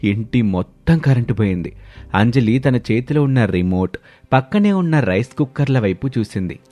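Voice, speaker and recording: A man giving scripted narration of a family story in a steady storytelling manner. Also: medium pitch, normal speed.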